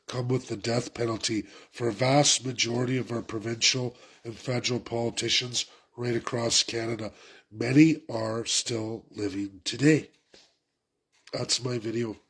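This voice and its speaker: Man, congested, head cold, raspy, urgent vlog rant, repeats himself. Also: mid-range voice, a bit bright. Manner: urgent, repetitive, exhorting. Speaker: political commentator. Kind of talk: impassioned political rant to camera.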